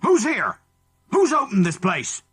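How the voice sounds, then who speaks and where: Man acting an angry, loud, high-strung gang crook. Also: wildly swooping pitch, a little slow, husky, faint music behind, heavily acted. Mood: angry, shocked. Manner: barking, indignant.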